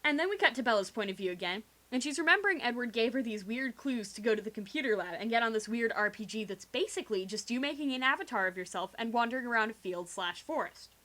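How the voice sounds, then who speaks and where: A young woman doing a theatrical, sarcastic comic dramatic reading in slangy language, recorded quietly on a homemade game microphone rig. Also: fairly high pitch, animated, quick pace, hardly any pauses. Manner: theatrical, sarcastic, slangy, acts out quoted dialogue. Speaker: storyteller. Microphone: video game microphone with improvised pop filter.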